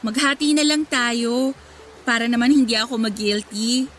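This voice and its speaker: A woman playing a sweet nineteen-year-old nursing student in a Filipino radio drama, with a gentle, friendly way of talking. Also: a little high, fairly even intonation, normal speed, a little hiss or hum, close to an everyday voice. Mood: grateful, friendly.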